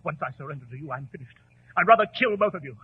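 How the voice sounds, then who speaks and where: An actor playing a desperate, stubborn bookkeeper turned killer, agitated and defiant, in old radio melodrama. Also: male voice, a little high, expressive, racing, husky. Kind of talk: 1940s radio melodrama acting. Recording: tinny, phone-like 1940s radio recording.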